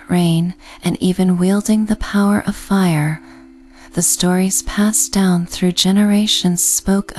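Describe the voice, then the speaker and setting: A woman narrating a scripted bedtime sleep story in a gentle, calming, meditative way. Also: mid-range voice. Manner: slow, gentle, calming delivery. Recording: soft music behind the voice. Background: background music.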